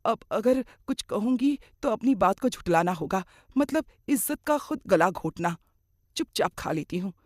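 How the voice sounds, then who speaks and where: A woman's voice: mid-range voice, darkish tone, no echo, clean studio sound, close to an everyday voice. A woman playing a middle-aged mother-in-law, kindly but grumbling and dramatic, in a moral cartoon story.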